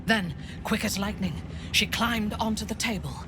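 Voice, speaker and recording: A woman voicing a hardened warrior recounting her captivity in a low, half-whispered voice. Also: normal speed, close to an everyday voice. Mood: grim. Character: hardened warrior recalling captivity, grim and controlled. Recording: game scene with loud ambient noise.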